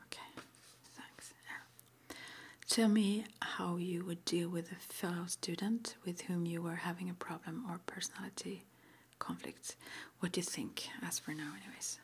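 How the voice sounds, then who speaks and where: A woman whispering softly and breathily as an interviewer in an ASMR roleplay, murmuring little acknowledgements between her questions. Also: fairly low pitch, fairly even intonation, unhurried, breathy edge. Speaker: interviewer at a witch school.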